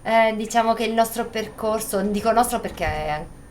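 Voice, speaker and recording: A woman guest talking casually and hesitantly, pausing with fillers. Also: a little high, slight background noise. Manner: speaks hesitantly with pauses and fillers. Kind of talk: casual conversation.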